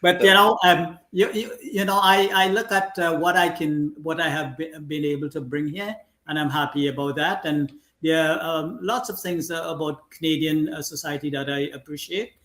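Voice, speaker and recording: Host from trinidad, kinda high voice, echoey room, lots of ums. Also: male voice, steady tone, pushed and bright. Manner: conversational, halting, with many fillers. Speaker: talk show host. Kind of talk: casual talk show conversation.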